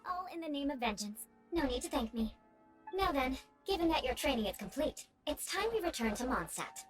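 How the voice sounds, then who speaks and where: A woman's voice: sits high, sing-song, fairly quick, soft background music. A woman playing a poised young aristocratic knight in a video game, speaking formally and strictly with a teasing edge. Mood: teasing, composed. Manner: formal, composed, haughty instruction.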